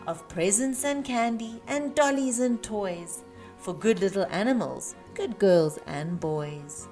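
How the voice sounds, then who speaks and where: Slow-paced woman reading a children's storybook. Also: medium pitch, darkish tone. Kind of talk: expressive read-aloud of rhyming Christmas storybook. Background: music.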